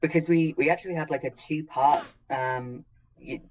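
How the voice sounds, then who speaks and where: A woman's voice: low-pitched, steady tone, normal speed, a little mellow, a little hiss or hum, rough recording. A woman talking casually on a panel as an audio drama creator, hesitant and searching for her words.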